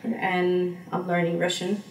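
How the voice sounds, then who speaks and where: A young Slovenian woman answers interview questions in English in a chatty, easygoing way, full of fillers and restarts. Her voice sits a little low, and she sounds distant from the mic in an echoey room with faint background noise. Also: flat delivery, slow pace. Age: young adult. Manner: chatty, frequent fillers and restarts, laughs easily. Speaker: interviewee, graduate student.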